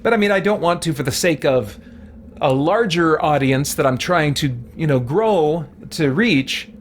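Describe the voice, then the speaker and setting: A man's voice: a little high, lively intonation, measured pace. Man rambling casually over background noise. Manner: rambling, thinking aloud. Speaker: livestream gamer and host. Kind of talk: casual let's-play commentary while reading chat.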